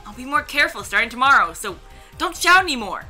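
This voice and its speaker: Casting: woman playing good-hearted, careless grandson in his twenties, grumbling, bright voice, game hero. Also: high-pitched, very animated, fast, faint music behind, heavily acted. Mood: sheepish, defensive. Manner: grumbling, apologetic, casual.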